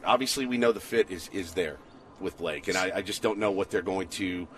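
A man's voice: low-pitched, brisk, fairly bright tone. A man co-hosting casual sports talk, giving opinions emphatically and repeating short phrases for weight. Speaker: sports radio co-host. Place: outdoor patio at a ballpark complex. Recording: clear ambient background noise.

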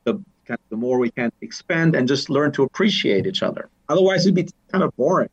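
A man's voice: medium pitch, animated, a little fast, breathy edge, dull audio. A man from Albania with an Albanian accent, talking thoughtfully as an interview guest, often restarting and repeating words. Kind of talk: conversational interview.

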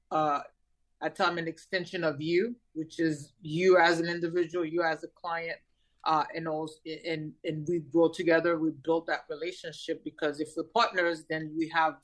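A woman's voice: deep voice, low-quality recording. A woman in her forties from Haiti, a guest on an interview show, talking about her career in an enthusiastic, chatty way with frequent laughs. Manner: warm, enthusiastic, laughs often, many fillers. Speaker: guest, cybersecurity company founder. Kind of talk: conversational interview.

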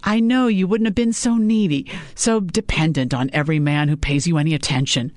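A woman's voice: sits low, fairly even intonation, fairly quick, darkish tone, no echo, clean studio sound, natural voice. A woman reading an audiobook, voicing a stern middle-aged mother who lectures her grown daughter in a pushy, scolding way. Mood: lecturing.

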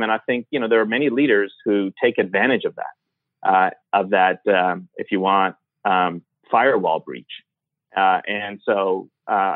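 A man's voice: fairly low pitch, no room sound. A man, a guest born in Iran and raised in Canada, answering interview questions thoughtfully with many fillers. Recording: tinny phone-like line.

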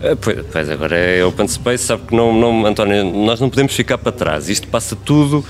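Actor as an eager-to-please, very low-voiced radio host. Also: male voice, lively intonation, fast talker, natural voice. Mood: placating. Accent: European Portuguese. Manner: apologetic, stammering, polite. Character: male radio newsroom host, deferential, eager to placate. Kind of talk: comedic radio drama dialogue.

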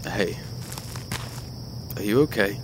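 An actor playing a hurt young ex-boyfriend in an audio drama, sardonic, clipped and dismissive. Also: male voice, on the low side, animated, slow pace. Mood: guarded. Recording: noisy, night insect ambience behind.

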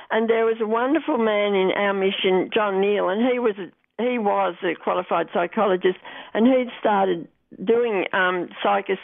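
An older woman with an Australian accent speaks over a thin, phone-like line. Her voice has a creaky, gravelly edge. She recounts the past as an interview guest, earnest and a little halting as she repeats words. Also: medium pitch, no echo. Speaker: interview guest, former missionary. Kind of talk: reflective interview answers, recounting memories.

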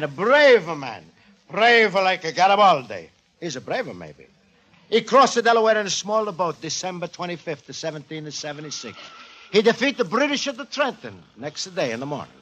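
An actor playing a humble, earnest Italian immigrant shopkeeper with an Italian accent and broken English, in 1940s radio style. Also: male voice, sits high, a bit bright, full character voice. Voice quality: broken English with added vowels. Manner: earnest, guileless. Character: warm, earnest Italian immigrant antique dealer, humble and proud. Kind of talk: 1940s radio comedy-drama acting.

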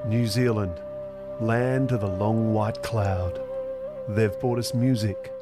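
A man's voice: fairly low pitch, slow pace, loud music behind the voice. A man hosting a short podcast, reading scripted narration and asking interview questions with dry asides. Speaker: podcast host.

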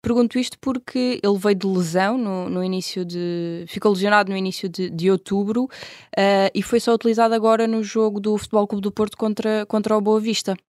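A woman from Portugal co-hosting a podcast, asking questions in a measured, methodical way. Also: medium pitch, fairly even intonation, darkish tone, no echo, clean studio sound. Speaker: podcast co-host. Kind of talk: casual podcast chat.

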